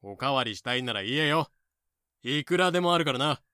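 A man playing a blunt, gruffly caring plantation overseer in a fan audio drama. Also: medium pitch, lively intonation, fairly quick, pushed and bright, no echo, studio quality. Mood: loud, hearty. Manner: rough, blunt masculine speech. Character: rough plantation overseer, gruffly caring, deferential to his mistress.

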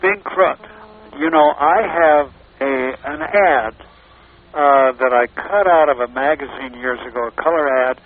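A man's voice: a little high, unhurried. A man reminiscing in casual chat, hesitant and often searching for words. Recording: noisy, tinny telephone-like line.

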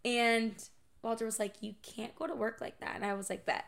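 A young woman with a high, bright, sharp voice that comes out a little hoarse and raspy. She chats on a podcast in a bubbly, giggly way, wandering into asides. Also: flat delivery, brisk. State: hoarse, slightly raspy from dry air. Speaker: podcast co-host. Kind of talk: casual chat.

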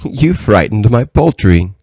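A very old storybook grandmother, played by a man with his voice lifted. She is kindly and a little mysterious, speaking with gentle, measured patience in a dry, close sound. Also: on the low side, big pitch swings, no room sound, voice pushed up, heavily acted, rough recording. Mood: kindly, amused.

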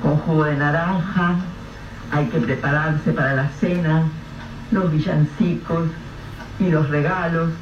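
A man's voice: fairly high pitch, steady tone. Grave radio drama narrator, man. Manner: measured, grave storytelling. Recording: echoey, distant, dull lo-fi with faint hiss.

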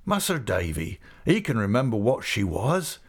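Man playing an overjoyed, rustic old seafaring uncle, animated. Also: on the low side, a little slow, heavily acted. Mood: tender, fervent. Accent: East Anglian English rustic dialect. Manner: rapturous, effusive, breathlessly excited. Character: overjoyed rough old seafaring uncle, humble, big-hearted. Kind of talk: character voice in audiobook reading.

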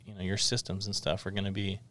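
A man's voice: deep voice, little pitch movement, quick pace, fairly bright tone. A middle-aged man hosting a casual interview podcast in an office studio, rambling a little with lots of fillers. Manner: rambling, friendly, many fillers. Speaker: podcast host.

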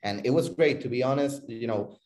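A man with a Puerto Rican accent answering interview questions about his business, halting and repeating words as he searches for phrasing. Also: mid-range voice, a little mellow. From Puerto Rico. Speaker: interview guest, tech company CEO. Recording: roomy, echoing voice recording.

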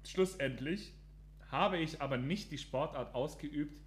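A man hosting a casual podcast quiz, reading out clues in a steady, deliberate way. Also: fairly high pitch, a little hiss or hum. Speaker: quiz host.

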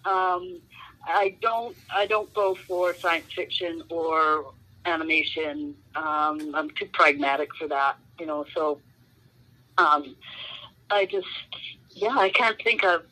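Bright-voiced woman, rambling. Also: lowish voice, unhurried, rough recording. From Canada. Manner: rambling, full of "um" and "you know" fillers. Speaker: author guest. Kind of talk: casual interview chat.

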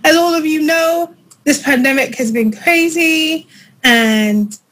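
A woman's voice: sits high, takes it slowly, a bit bright, slight background noise. A woman hosting a podcast, gushing and effusive, her voice wobbling with emotion as she talks casually over a remote call. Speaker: podcast host.

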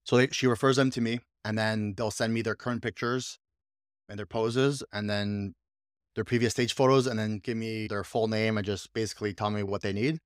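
A man's voice: fairly low pitch, steady tone, moderate pace, no echo, clean studio sound. A man hosting a casual podcast, talking up his assistant in an enthusiastic, rambling way with frequent restarts. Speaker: podcast host, coach and business owner.

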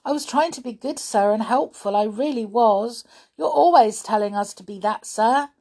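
A woman's voice: fairly high pitch, moderate pace, a little mellow, voice pushed up. A woman acts out a young schoolboy with a raised, eager voice. He is mischievous yet earnest, pleading and prompting like a helpful classmate in a children's tale. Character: mischievous, helpful young schoolboy pupil, apologetic under threat.

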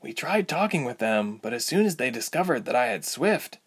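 Guy reading as an anxious young adventurer woman, higher pitch, earnest and questioning, fantasy audiobook. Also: very animated, normal speed, slightly rough. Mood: sheepish, frustrated.

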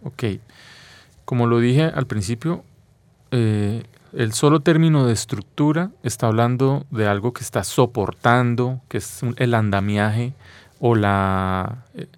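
Male Colombian expert guest, mid-pitched, didactic and conversational, very dry recording. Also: measured pace, clean tone, no room sound. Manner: didactic, often checks with a tag question. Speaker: biologist, interview guest. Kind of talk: conversational explanation in an interview.

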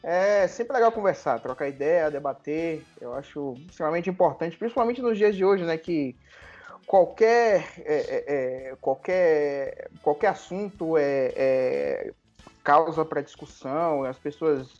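A man from Salvador, Brazil, talking as a guest on a podcast in a relaxed, hesitant way, often restarting his sentences. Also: fairly high pitch, unhurried, dull audio, soft background music. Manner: hesitant, many restarts and fillers. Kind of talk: casual chat.

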